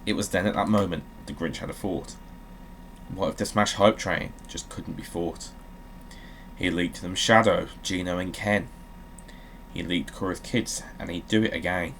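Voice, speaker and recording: A man's voice: low-pitched, a little slow, pauses often, fairly bright tone, a little hiss or hum. A man hosting a gaming video, talking casually and reading aloud dramatically, with a joking, sweary manner.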